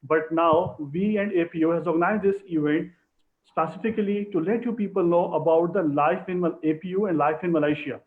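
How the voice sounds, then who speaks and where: Man, host, slightly high voice, tinny phone-like sound, formal halting speech. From Pakistan. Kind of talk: live online info session. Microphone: video call.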